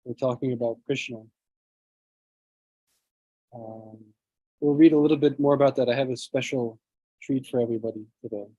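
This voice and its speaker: A man leading a scripture class over a video call, reading translations aloud and often clearing his throat, with a low voice. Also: animated, moderate pace, pauses often, mellow, dry recording, dull audio. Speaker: class speaker. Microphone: computer mic on a video call.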